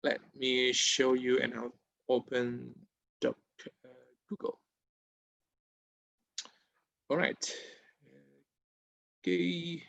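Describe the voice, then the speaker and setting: A man's voice: mid-range voice, slow pace, creaky, pauses often, fairly bright tone, dry recording, dull audio. A man in his thirties whose first language is Japanese, presenting a screen-share tutorial in a webinar, with hesitant speech full of fillers and restarts, recorded on a laptop mic over a video call at home. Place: indoor room at home.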